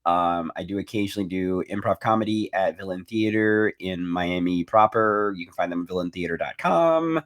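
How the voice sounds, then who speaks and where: A man co-hosting a chatty podcast, hoarse from being sick, with a deep baritone voice and a rambling, animated way of telling stories. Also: moderate pace, few pauses. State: hoarse from illness. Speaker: podcast co-host. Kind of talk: casual chat. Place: Miami.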